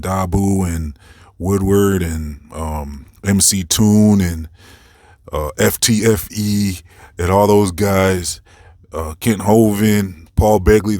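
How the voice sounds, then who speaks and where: A man's voice: low-pitched, mellow, no echo, clean studio sound. A man hosting an informal, unscripted commentary, talking in a rambling, conversational way and circling back over his points. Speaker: host of a commentary series.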